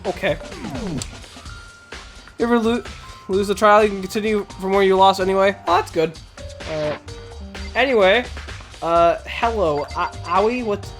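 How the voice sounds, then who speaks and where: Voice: mid-range voice, unhurried, long pauses. Stuffy-nosed streamer rambling to chat, faint music behind, kinda nasal. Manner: rambling, chatty, laughs often, talks to the chat. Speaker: Twitch streamer playing a video game. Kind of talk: casual live-stream chat with viewers.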